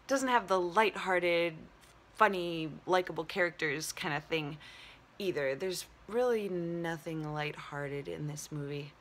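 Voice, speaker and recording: A woman's voice: on the low side, fairly bright tone, slight background noise. A woman hosting a casual solo movie review, talking in loose, hesitant phrases as she thinks aloud. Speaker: movie review show host.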